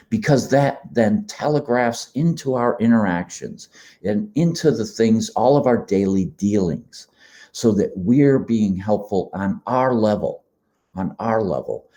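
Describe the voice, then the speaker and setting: A man hosting a podcast, giving a slow, meditative spiritual talk in a slightly hoarse, froggy voice. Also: on the low side, warm tone. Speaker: podcast host. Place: quiet beach condo.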